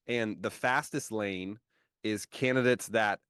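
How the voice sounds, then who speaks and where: A man's voice: lowish voice, slow pace, fairly bright tone, clean tone, no echo, clean studio sound. A man hosting a business interview, chatting easily and checking in with "right?" as he explains. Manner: conversational, with frequent "kind of" and "right?" checks. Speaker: host.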